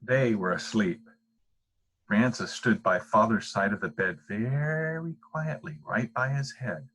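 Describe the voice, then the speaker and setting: Older man, husky, reading a bedtime story. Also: on the low side, slow pace, a bit bright, low-quality recording. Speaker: storybook reader. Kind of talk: read-aloud children's bedtime story.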